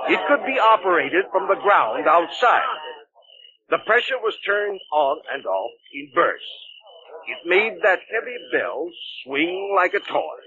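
A confident, theatrical professor unravels a ghostly hoax with relish. His voice sits high and swoops with animated inflection. It comes through a muffled old radio recording. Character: confident male professor, showman debunking a ghost. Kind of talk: 1940s radio acting.

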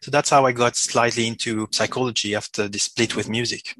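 A man's voice: mid-range voice, steady tone, a little fast, bright, forceful tone, dry recording. A native French-speaking man from Switzerland with a Swiss French accent and a slight cold, talking hesitantly as an interview guest. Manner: hesitant, self-correcting. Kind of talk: halting conversational interview talk.

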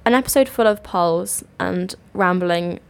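Gravelly young woman, casual podcast chat. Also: on the low side, a little slow. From Cornwall, England. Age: young adult. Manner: relaxed and chatty, agreeing often. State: ill, coughing. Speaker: podcast co-host. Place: student radio studio.

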